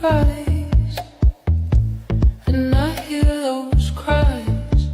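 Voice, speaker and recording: A woman's voice: high-pitched, flat delivery, very slow, over music. A woman singing a wistful song. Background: musical accompaniment.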